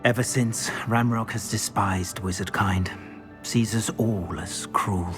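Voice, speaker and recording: An actor playing an anxious, earnest older wizard, a metal trader in a video game. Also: male voice, lowish voice, slow. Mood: rueful, reflective. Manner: earnest, expressive, rueful. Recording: game music behind the voice.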